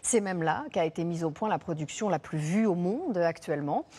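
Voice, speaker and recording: A woman presenting the news from the studio, introducing a report with steady, polished delivery. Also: medium pitch, breathy edge. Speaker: news anchor.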